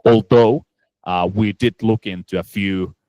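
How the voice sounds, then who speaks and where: A man's voice: lowish voice, fairly even intonation, unhurried, dark tone, no room sound, lo-fi sound. A man presenting at a conference Q&A, answering questions with many restarts and fillers. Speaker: startup presenter.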